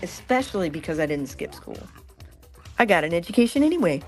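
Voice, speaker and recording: A woman's voice: on the low side, sing-song, brisk, soft background music. A woman reading a sincere, thoughtful teenage character in a live script reading.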